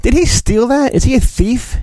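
A man's voice: very high-pitched, normal speed, warm tone, dry recording. A man hosting a podcast, reading a storybook in a mock-dramatic, playful way with casual asides.

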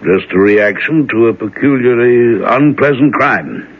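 An actor playing an older minister and troubled father, speaking in a measured, earnest, gently probing way in a 1970s radio drama. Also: medium pitch, natural voice. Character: aging reverend, troubled worried father. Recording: muffled old radio broadcast.

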